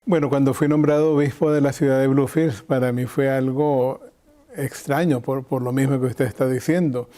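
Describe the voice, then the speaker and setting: Older Nicaraguan man, mellow voice. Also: medium pitch, steady tone, normal speed. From Chinandega, Nicaragua. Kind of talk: reflective interview answers.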